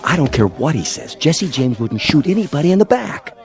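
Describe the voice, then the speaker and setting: Earnest cowboy bit-player. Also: male voice, fairly high pitch, sing-song, a little fast, gravelly, crowd noise. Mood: earnest protest. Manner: earnest, conversational. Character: earnest, principled supporting cowboy actor, mildly protesting. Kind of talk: radio drama acting. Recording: faint music behind the voice.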